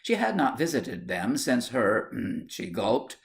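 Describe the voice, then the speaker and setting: A man reading a story aloud as scripted literary narration, in an expressive storytelling manner. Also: mid-range voice, slow pace, gravelly. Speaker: audiobook reader.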